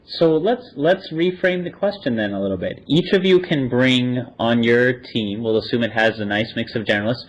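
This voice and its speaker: Male host moderating casually, medium-pitched voice, slightly muffled lo-fi recording. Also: moderate pace, hardly any pauses. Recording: dull lo-fi audio.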